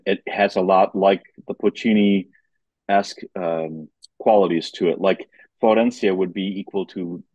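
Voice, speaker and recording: A man's voice: low voice, darkish tone, dry recording, rough recording. A man chatting casually as a guest commentator on an arts podcast. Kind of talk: casual chat.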